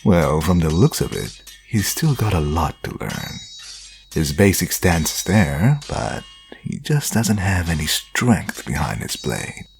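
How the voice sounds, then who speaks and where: Man acting a smug, gravelly, theatrical vampire. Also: low-pitched, big pitch swings, a little slow, soft background music, full character voice. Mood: wry, appraising. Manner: sardonic, self-important. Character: smug, theatrical, teasing male vampire nobleman. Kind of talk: dramatic audio roleplay acting.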